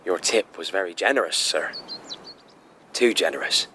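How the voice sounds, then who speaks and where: An actor playing a deferential but persistent gamekeeper in a period radio drama. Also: male voice, low-pitched, animated, normal speed, sharp tone, slight background noise. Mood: deferential, insistent.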